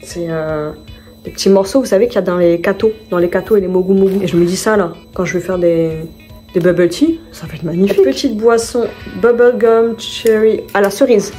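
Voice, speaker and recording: A chatty woman talks through her shopping haul at home in a relaxed, mellow voice. She rambles and corrects herself as she goes. Soft music plays quietly behind her. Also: medium pitch, fairly quick. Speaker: vlogger. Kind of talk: casual vlog chat, showing her shopping haul.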